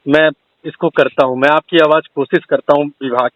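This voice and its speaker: A man's voice: on the high side, fairly even intonation, fairly quick, no echo. A man talks on a recorded call that sounds a little muffled and dry. He is polite and sympathetic, offering reassurance in a conversational way. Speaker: video creator taking a teacher's call.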